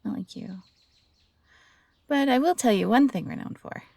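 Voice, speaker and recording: A woman playing a gentle, eager fox-hybrid former servant in an intimate roleplay audio drama, soft-spoken and earnest with a breathy, slightly husky voice. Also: on the high side, expressive, moderate pace, dry recording. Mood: wistful, reflective.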